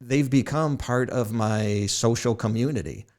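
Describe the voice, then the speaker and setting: A man's voice: mid-range voice, unhurried, a little mellow. A man hosting a podcast, telling self-deprecating stories and laughing at his own jokes. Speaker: podcast host. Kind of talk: casual podcast conversation.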